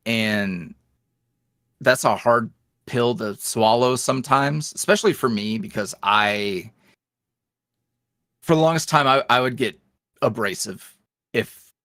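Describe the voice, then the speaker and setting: A man's voice: mid-range voice, takes it slowly, long pauses, a bit bright, no echo, studio quality. A young man talking casually as a podcast guest, rambling with lots of fillers and restarts. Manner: rambling, filler-heavy, self-reflective. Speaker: podcast guest, roleplay creator. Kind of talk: casual interview chat.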